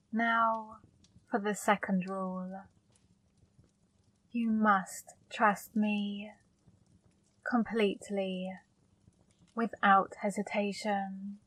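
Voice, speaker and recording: Casting: woman voicing a seductive female demon for ASMR, slow and level delivery, dry close sound. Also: mid-range voice, no echo, low-quality recording. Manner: slow, soft, commanding. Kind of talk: ASMR roleplay.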